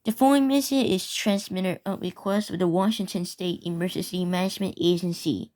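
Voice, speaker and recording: A woman with a fairly low voice acts as an emergency alert announcer. She reads scripted warnings and official notices in the form of a public safety bulletin. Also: fairly even intonation, fairly quick, a little mellow.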